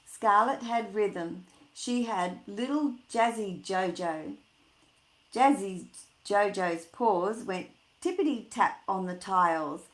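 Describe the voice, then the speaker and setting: A woman reading a picture book aloud to children, chatting informally and putting expressive, singsong emphasis on the words. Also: mid-range voice, slow, a little hiss or hum. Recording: echoey room.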